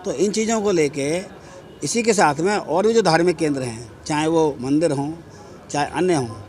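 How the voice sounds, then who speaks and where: Man speaking formally with pauses. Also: fairly high pitch, a little mellow. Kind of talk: interview sound bite.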